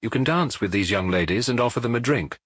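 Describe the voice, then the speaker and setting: A man's voice: medium pitch, lively intonation, fast, fairly bright tone, husky, studio quality. A man voicing a chatty, knowing dance-hall proprietor with a familiar, confiding manner in an audiobook. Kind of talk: audiobook character dialogue.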